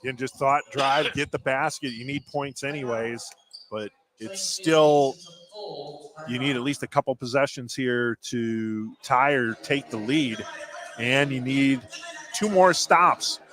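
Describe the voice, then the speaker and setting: Male, average pitch, basketball color commentator, conversational delivery, live radio sports. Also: a little slow, a crowd in the background.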